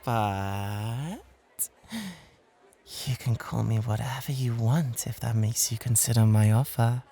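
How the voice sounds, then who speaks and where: A woman playing a flirty, excitable and sly nightclub host in an ASMR roleplay, breathy and gushing, with a possessive streak. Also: a bass voice, slow, heavily acted. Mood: coy, flirtatious.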